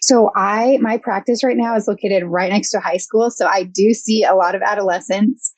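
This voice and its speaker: A young woman, a counseling intern and guest, talking thoughtfully with many pauses and fillers. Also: mid-range voice, steady tone, a little mellow, dry recording. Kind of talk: casual podcast interview.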